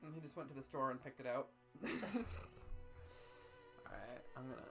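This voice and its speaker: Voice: medium pitch, steady tone, normal speed, over music. A guest commentator in casual comic banter, joking and swearing with a wry manner.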